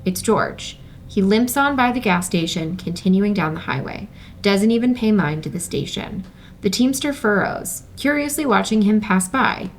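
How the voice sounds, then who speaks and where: A woman's voice: medium pitch, lively intonation, normal speed, a little hiss or hum. A woman hosting a screenplay table read and reading out material as its narrator. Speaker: host and stage-direction reader.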